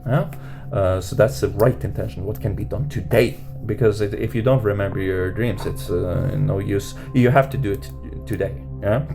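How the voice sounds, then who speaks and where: A man teaching meditation off the cuff, rambling with many fillers and restarts and lifting his pitch at the end of questions. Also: normal speed, gravelly. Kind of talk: unscripted teaching talk. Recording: music playing under voice. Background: guitar music.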